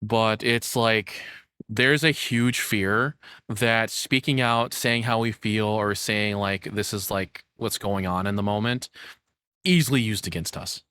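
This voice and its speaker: Low-voiced man casually commenting, up close and dry. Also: unhurried, bright, forceful tone, smooth, dry recording. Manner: blunt, profane, informal. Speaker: streamer reacting to a video.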